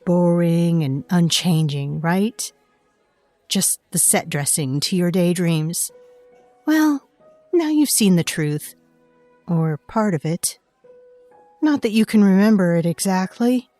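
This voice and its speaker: A knowing, ancient fae waitress, speaks slowly in a soft-edged, mellow voice. She is wry and conspiratorial, an audio drama storyteller with music underneath. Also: lowish voice, animated. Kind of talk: scripted audio drama narration in second person.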